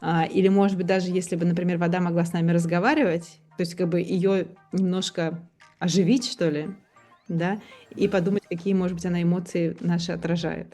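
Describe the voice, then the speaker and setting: A woman's voice: on the low side, steady tone, brisk, warm tone, faint music behind. A woman co-hosting a podcast, musing aloud in a casual, tentative and meandering way. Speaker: podcast co-host.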